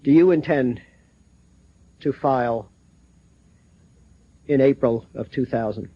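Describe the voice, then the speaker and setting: A man putting formal questions at a seminar, with deliberate, halting phrasing. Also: medium pitch, dry recording. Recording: muffled dry lo-fi recording.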